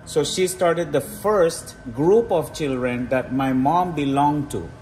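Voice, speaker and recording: A man's voice: a little high, takes it slowly, a little mellow, slight background noise, rough recording. A man hosting a casual vlog, explaining a recipe and often checking in with short tag questions. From Trinidad. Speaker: vlog host. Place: home kitchen.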